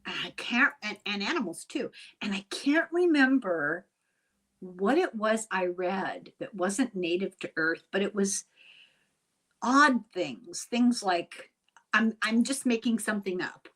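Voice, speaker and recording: A woman's voice: medium pitch, lively intonation, takes it slowly, a bit bright. An older woman hosting a casual interview, asking inquisitive questions and wandering into asides as she talks. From Ireland. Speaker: host and interviewer.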